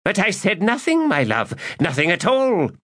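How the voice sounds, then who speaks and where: A male narrator voicing a scheming, lecherous mage who mutters his plots aloud, high and excitable, in an audiobook. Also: moderate pace, full character voice. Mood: gleeful. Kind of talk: audiobook character voice.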